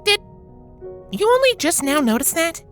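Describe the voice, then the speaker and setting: A woman voicing a caring, composed young female winged pony with a direct, gentle manner, in a fan fiction reading. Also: very high voice, very animated, normal speed, faint music behind. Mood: amused, gentle.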